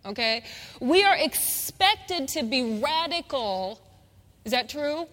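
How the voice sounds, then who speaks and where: A woman with a high voice preaches a fervent sermon and keeps asking her congregation for an amen. She repeats key phrases and builds them up. Her voice rings through a big reverberant hall. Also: lively intonation, slow, fairly bright tone. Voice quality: singer. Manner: call-and-response, repeats phrases with rising fervor.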